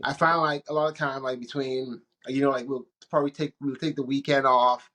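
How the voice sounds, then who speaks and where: A man from the Bahamas, probably in his thirties, chatting as an interview guest in a relaxed, rambling way with frequent restarts. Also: medium pitch, brisk. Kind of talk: casual interview chat.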